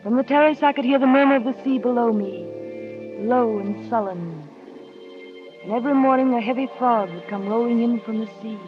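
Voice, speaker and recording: An actress playing a shy, insecure young wife who narrates wistfully and asks hesitant, earnest questions, on an old radio drama. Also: female voice, a little high, moderate pace, music in the background, lightly acted. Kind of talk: 1940s radio acting. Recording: dry, dull vintage radio recording.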